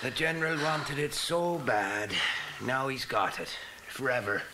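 Man playing alley cat, tough talk, slangy grammar, mid-pitched, comic radio play. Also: animated, measured pace, background noise, natural voice. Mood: sly. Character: wisecracking male alley cat.

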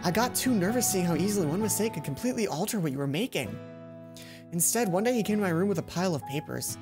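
A woman's voice: fairly low pitch, expressive, fast. A young adult woman reading a visual novel aloud as a let's play host, speaking into a headset in a room. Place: indoor room, seated at a computer. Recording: background game music.

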